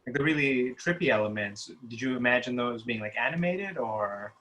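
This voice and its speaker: A man's voice: medium pitch, animated, normal speed, pushed and bright, rough recording. A man talking in casual chat within a group discussion.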